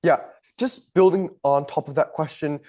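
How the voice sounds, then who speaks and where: A man's voice: on the high side, normal speed, a little mellow. A young man hosting an interview, asking prepared questions in a polite, earnest way. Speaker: interviewer, podcast host.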